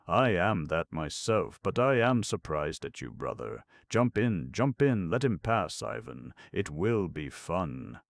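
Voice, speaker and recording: A man reading aloud voices a boisterous, mocking old father who shouts and jeers. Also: deep voice, creaky, no echo, studio quality, voice pushed up, exaggerated voice. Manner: shouting, jeering, theatrical.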